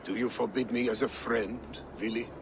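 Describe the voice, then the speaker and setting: An older actor playing a weary, loyal old Viking warrior in a video game, solemn and resigned. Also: male voice, mid-range voice, steady tone, moderate pace, background noise, close to an everyday voice. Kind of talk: video game dramatic acting.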